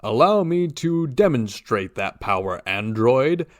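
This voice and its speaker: A man's voice: mid-range voice, sing-song, a little slow. A man playing a confident, righteous superhero leader in a radio drama, with a grand declamatory delivery. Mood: triumphant, commanding.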